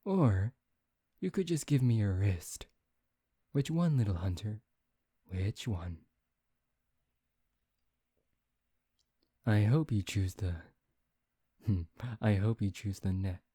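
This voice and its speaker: Man acting a deep, slow seductive vampire. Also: lively intonation, warm tone, slightly rough, no room sound, studio quality, full character voice. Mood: hungry, seductive. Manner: slow, intimate, teasing, formal wording. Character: teasing, seductive male vampire toying with a captive hunter. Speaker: voice actor. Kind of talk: ASMR roleplay acting.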